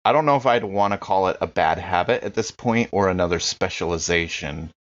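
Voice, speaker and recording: A man's voice: deep voice, a little fast, muffled recording. A man chatting casually as a player in a tabletop game podcast.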